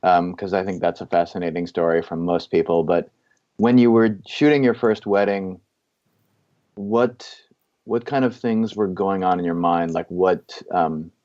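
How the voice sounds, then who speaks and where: Very deep-voiced man asking long questions. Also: steady tone, a little slow, darkish tone, lo-fi sound. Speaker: podcast host. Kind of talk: casual podcast interview.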